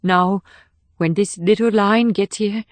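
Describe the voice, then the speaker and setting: A young woman agent, tender and guarded, speaks in a hushed, gentle voice, put on by a male narrator. The voice sits well above his own range and stays quiet. The recording is dry and close. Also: sits high, fairly even intonation, slow, no echo, voice pushed up.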